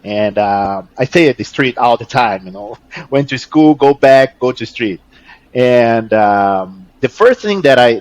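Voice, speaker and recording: Brazilian-accented man, halting English, dry sound. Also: medium pitch, dry recording, low-quality recording. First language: Portuguese. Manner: halting, searching for English words, repeats words. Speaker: interview guest, jiu-jitsu athlete. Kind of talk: casual conversational interview answers.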